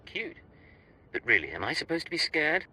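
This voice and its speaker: An actor playing a cold, cocky Imperial secret agent in a video game, talking in a dry, clipped, sardonic way. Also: male voice, a little high, sing-song, normal speed, sharp tone, husky, heavily acted. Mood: sardonic, defiant. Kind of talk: video game cutscene acting. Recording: game audio over music.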